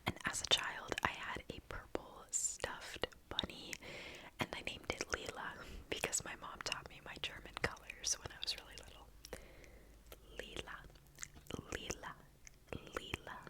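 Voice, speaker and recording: A young woman whispering an ASMR language lesson close to the mic, softly repeating words, with casual chatty asides. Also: a little slow. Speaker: ASMR creator teaching basic German. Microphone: close sensitive mic.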